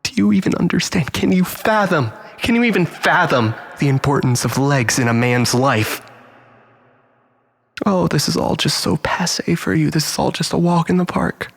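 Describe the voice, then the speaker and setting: A man's voice: on the high side, expressive, moderate pace, vocal fry, breathy edge, in a large hall, exaggerated voice. A man playing a desperate, manipulative, self-pitying lover in a dramatic monologue, stammering and repeating himself as he swings between pleading and bitterness. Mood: wounded, spiteful.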